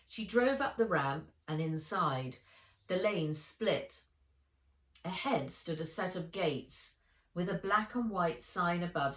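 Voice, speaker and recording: A woman author reading her children's adventure book aloud in an expressive storytelling style. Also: lowish voice, far from the mic. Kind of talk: expressive children's audiobook narration. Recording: roomy, echoey room sound.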